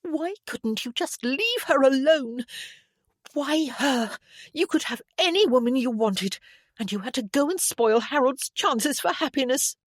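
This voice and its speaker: A narrator puts on a petulant young woman from a period novel, spoiled and defiant. Her voice is pitched well up, whiny and tearful, and it swings in a lively way. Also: measured pace, dry recording, clean studio sound. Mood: bitter fury.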